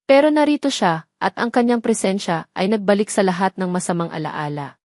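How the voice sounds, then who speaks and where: A woman's voice: mid-range voice, animated, a little fast, a little mellow, dry recording. Woman narrator, dry close sound. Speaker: story narrator. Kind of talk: scripted dramatic narration.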